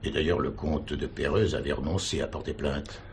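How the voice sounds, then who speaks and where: An actor playing a courteous, middle-aged prison chaplain in a radio drama, speaking formally and earnestly. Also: male voice, rumbling low, moderate pace, slight background noise. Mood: insistent. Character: earnest prison chaplain, a devout pleading man. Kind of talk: 1970s French radio drama acting.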